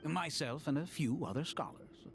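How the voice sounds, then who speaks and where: A man's voice: fairly high pitch, moderate pace, creaky, soft background music. A man playing an earnest, polite scholar in a video game, speaking expressively. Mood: curious, polite. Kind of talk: scripted video game dialogue.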